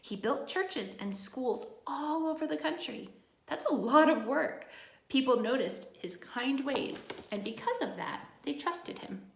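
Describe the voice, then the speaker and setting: A woman with a fairly high voice and a noticeable creak reads a children's book aloud like a teacher. She breaks from the text for simple, encouraging asides to young listeners. The room adds a clear echo around her voice. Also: animated, a little slow.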